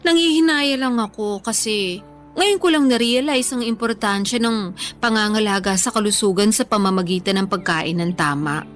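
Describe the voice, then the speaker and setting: A woman's voice: medium pitch, moderate pace, soft background music, close to an everyday voice. A woman playing an earnest, hopeful young woman in a radio drama, speaking in a candid, confiding way. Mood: regretful confiding. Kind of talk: Tagalog radio drama dialogue, conversational acting.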